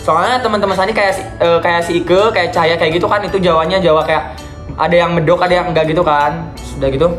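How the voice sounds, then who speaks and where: A chatty young man with a high voice hosts a casual video call and keeps talking to his viewers. He sounds like he is in an echoey room, with faint music playing underneath. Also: steady tone, quick pace, a bit bright. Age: young adult. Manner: chatty, addresses viewers as guys, encouraging. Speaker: vlogger host.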